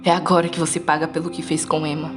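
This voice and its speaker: A woman's voice: low voice, little pitch movement, quick pace, lightly acted. A woman playing a vengeful, obsessive female stalker in an amateur audio drama, bitter, accusing and scornful. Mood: coldly vengeful. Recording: loud music under the voice.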